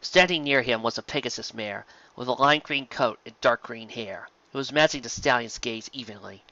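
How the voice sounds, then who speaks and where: A man reading a story aloud as narrator, in an expressive scripted style. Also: medium pitch, normal speed, dull audio. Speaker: narrator, fan fiction reader.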